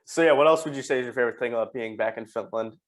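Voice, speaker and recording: Young guy hosting a podcast, talks fast, keeps going "uh" and restarting. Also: medium pitch. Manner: friendly, quick, restarts phrases with "uh". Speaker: podcast host. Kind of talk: casual interview hosting.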